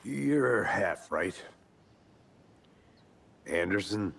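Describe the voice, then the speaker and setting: A man playing a brooding veteran FBI agent in a noir-tinged video game, deadpan, laconic and dry. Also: deep voice, very slow, gravelly, husky, exaggerated voice. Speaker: FBI agent, backup partner.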